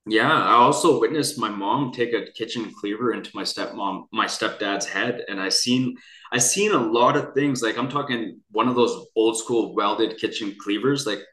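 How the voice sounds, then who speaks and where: A man's voice: fairly low pitch, normal speed, pushed and bright. A young adult man from Canada, telling his story as an interview guest in an earnest, rambling way with many restarts. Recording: echoey room.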